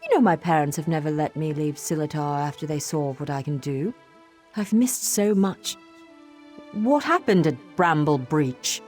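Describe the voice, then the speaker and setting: A woman's voice: low-pitched, normal speed, darkish tone, faint music behind, lightly acted. An actress voicing an earnest, sheltered young elf woman in a fantasy game, emotionally open and dramatic. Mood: wounded, hesitant.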